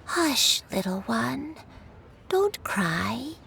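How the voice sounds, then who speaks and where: A woman voicing a gentle, forgetful old grandmother in a video game, speaking in a tender, dreamy way. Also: on the high side, sing-song, drawn-out words, creaky, slightly rough, heavily acted. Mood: fussing. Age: older. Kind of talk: video game character acting.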